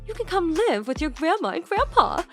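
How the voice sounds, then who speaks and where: A woman's voice: on the high side, big pitch swings, moderate pace, faint music behind. A woman voicing a spiteful, scolding older grandmother who plays favorites in a narrated story. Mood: coaxing. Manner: scolding, haughty. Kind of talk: acted dialogue in narrated story.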